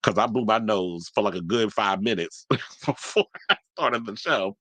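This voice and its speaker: A man's voice: fairly low pitch, moderate pace, a bit bright, dry recording. A man hosting a celebrity gossip show, talking in a blunt, chatty way, with a stuffy, congested voice. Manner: chatty, blunt, swears freely. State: congested from allergies. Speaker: celebrity gossip podcast host. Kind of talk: casual solo commentary.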